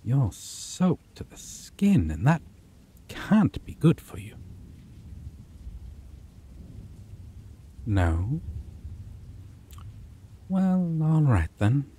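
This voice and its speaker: A man voicing a possessive, menacing male vampire in an ASMR roleplay, talking low and teasing and dropping to whispers. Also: very animated, extremely slow, long pauses, mellow, slightly rough, exaggerated voice. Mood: soothing.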